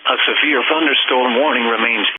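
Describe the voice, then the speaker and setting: A synthetic American male voice reading scripted emergency weather bulletins in a formal, impersonal, measured manner. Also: medium pitch. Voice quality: synthetic text-to-speech voice. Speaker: automated weather radio announcer. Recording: noisy, narrow-band weather radio broadcast.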